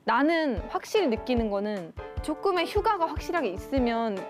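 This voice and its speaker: A young woman hosts with a high, lively voice that leaps around as she teases and cheers her guests on. Her talk is bubbly and playful, with music playing behind her. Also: normal speed. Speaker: host. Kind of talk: variety show interview chat.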